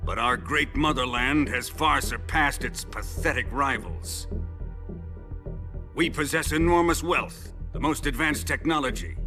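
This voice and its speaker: An actor playing a menacing, grandiose middle-aged Soviet colonel in a video game cutscene, speaking in a pompous, deliberate, theatrical way over music. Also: male voice, medium pitch, natural voice. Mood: boastful, grandiose.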